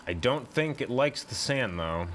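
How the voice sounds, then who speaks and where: A man narrating a first-person horror story in a deliberate, brooding, suspenseful way, as in a dramatic audiobook. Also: mid-range voice, expressive, fairly bright tone, slight background noise.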